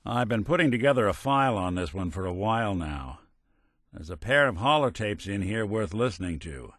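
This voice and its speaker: An actor playing a world-weary, wisecracking robot private detective in a video game, with an American accent and a wry, streetwise gumshoe delivery. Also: male voice, on the low side, lively intonation, moderate pace, fairly bright tone, dry recording, studio quality. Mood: grim, sardonic. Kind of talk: scripted game dialogue in a hardboiled noir detective manner.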